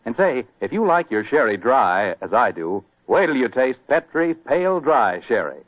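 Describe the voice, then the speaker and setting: A man's voice: mid-range voice, very animated, normal speed, no room sound. A man announcing in 1940s radio style, with folksy, emphatic salesmanship. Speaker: announcer and sponsor pitchman. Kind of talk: 1940s radio advertising and narration. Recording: tinny, dry old radio recording.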